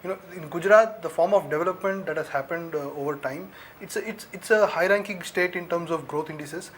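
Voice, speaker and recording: A man analyses policy on a live TV panel, talking fast in a slightly raised voice. He trips over fillers as he builds his points. Faint noise hums behind him. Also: on the high side, fairly bright tone. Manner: hesitant, many fillers, analytical.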